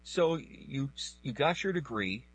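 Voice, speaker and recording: A mature man with a husky voice, asking questions in a halting, polite way as an interviewer. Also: mid-range voice, expressive, muffled recording. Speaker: interviewer, radio host. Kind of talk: conversational interviewing.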